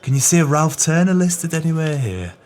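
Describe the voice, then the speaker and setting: A man's voice: fairly high pitch, big pitch swings, brisk, a little mellow. An actor playing a greedy, sly male swindler in an audio drama, with pompous, wordy, formal speech.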